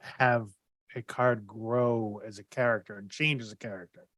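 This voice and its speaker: A man's voice: lowish voice, steady tone, moderate pace, fairly bright tone, dry recording. A man on a podcast panel, explaining his views in an informal, conversational way. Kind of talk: casual panel discussion.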